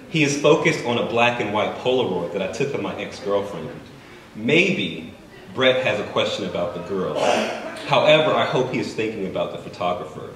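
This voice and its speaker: A man reading his own fiction aloud at an open mic in a bookstore, measured and deliberate. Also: lowish voice, fairly bright tone. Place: bookstore event space, indoors. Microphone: stand mic, recorded by a far camera. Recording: echoey room from far off, faint noise.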